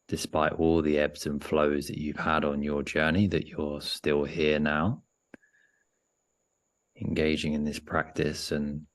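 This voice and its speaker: A man leading a guided meditation in a gentle, unhurried way, often pausing mid-sentence. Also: rumbling low.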